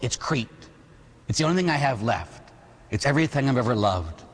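A man's voice: mid-range voice, big pitch swings, fairly quick. A man voicing a stubborn, pleading ninety-nine-year-old in a sermon story, plain-spoken and protesting. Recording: long hall reverb.

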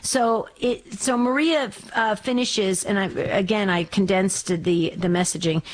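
A woman's voice: medium pitch, a little slow, low-quality recording. A mature American woman from New Jersey, in her fifties or sixties, chatting casually as a radio host in an effusive, emphatic way. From New Jersey, United States. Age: 50s or 60s. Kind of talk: casual chat answering a listener's letter.

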